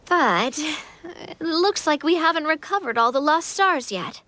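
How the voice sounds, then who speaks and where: A woman's voice: sits high, animated, unhurried, pushed and bright, no echo, heavily acted. A woman playing an upbeat, confident young female city leader in an anime game, with a playful, casual manner. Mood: explaining, upbeat. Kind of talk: anime game dub dialogue.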